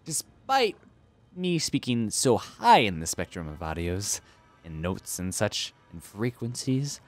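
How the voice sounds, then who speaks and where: A man's voice: on the low side, sing-song, slow. Goofy young man playing a strategy game. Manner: goofy, argues with himself in silly voices. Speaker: let's play host. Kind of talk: casual gameplay commentary.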